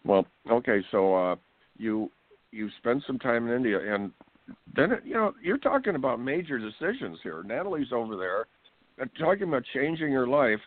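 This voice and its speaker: A man's voice: medium pitch, sing-song, moderate pace, no room sound. A man hosting a radio talk show, rambling and jokey as he interviews a guest. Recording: tinny phone line.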